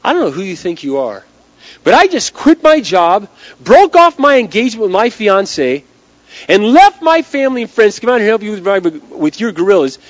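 A man voicing a feisty, forceful, indignant young woman in an emphatic, dramatic retelling of a film. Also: on the high side, sing-song, brisk, voice pushed up. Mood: indignant, loud. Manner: pushy, emphatic, dramatic delivery. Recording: dull lo-fi speech recording.

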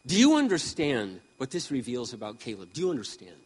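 A man around sixty preaching a sermon with impassioned emphasis, swinging between loud peaks and quiet asides. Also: mid-range voice, huge pitch swings, fairly quick, slightly rough, big-room sound, low-quality recording. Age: 60s. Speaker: pastor.

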